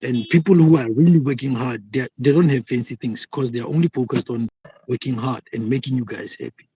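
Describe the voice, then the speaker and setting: A man's voice: medium pitch, a little fast, mellow, husky, no room sound. A young South African man on a phone video call from a taxi, arguing his point in a casual live debate and repeating himself as he goes. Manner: argumentative, repeats himself, frequent "like". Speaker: call-in guest.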